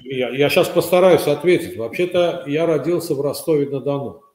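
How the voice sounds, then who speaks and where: An older man from Azerbaijan, a former foreign minister, telling stories in a measured, hesitant way as a guest on a video call from home. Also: on the high side, darkish tone. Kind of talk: casual interview over video call. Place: room at home, indoors. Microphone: webcam on video call. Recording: echoey room over webcam.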